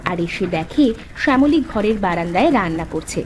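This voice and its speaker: A woman's voice: lowish voice, expressive, normal speed, a little hiss or hum. A woman reading scripted storybook narration for a cartoon folk tale.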